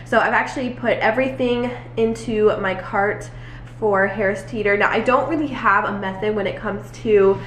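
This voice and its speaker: A woman's voice: mid-range voice, moderate pace, a bit bright. A young American woman and mother chatting casually to camera in a rambling, self-correcting way, sounding stuffy and congested from a cold. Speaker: family vlogger, mother of four. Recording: echoey room with faint noise.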